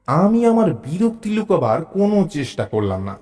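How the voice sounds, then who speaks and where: A man narrating a suspense story in the first person, in a measured, theatrical way. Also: a little high, sing-song, a little mellow. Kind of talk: dramatised suspense story narration, acting out dialogue.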